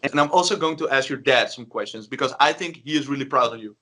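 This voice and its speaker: A man's voice: mid-range voice, brisk, sharp tone. A man interviewing a girl on video, speaking hesitantly with many filler sounds.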